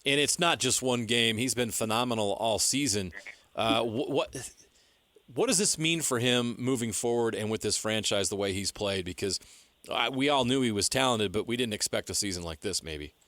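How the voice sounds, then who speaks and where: A man co-hosting a sports radio show, asking the guest questions in a relaxed way. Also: mid-range voice, normal speed, no room sound. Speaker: radio co-host. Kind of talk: casual radio interview.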